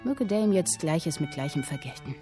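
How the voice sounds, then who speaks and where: A woman performing literary narration in a radio drama, telling a historical tale in a deliberate, dramatic way with clipped phrases. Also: sits low, lively intonation, warm tone. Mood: measured, sly. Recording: music under the voice. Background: music with violin.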